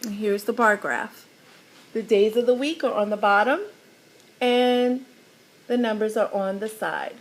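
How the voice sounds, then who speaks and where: A woman reading a children's picture book aloud, animated and teacherly, pausing to explain the pictures. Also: mid-range voice, poor audio.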